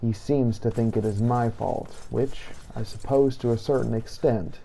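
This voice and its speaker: A man giving scripted first-person roleplay narration of a game in a measured, formal way. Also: medium pitch, steady tone, creaky, warm tone, a little hiss or hum, poor audio. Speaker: gameplay narrator playing a vampire hunter in character.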